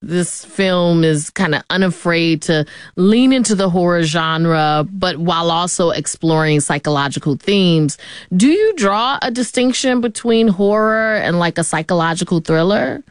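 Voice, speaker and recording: A woman's voice: fairly low pitch, unhurried, clear, resonant tone, no echo, studio quality. A woman hosting a public radio interview, asking questions in a conversational way. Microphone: studio microphone.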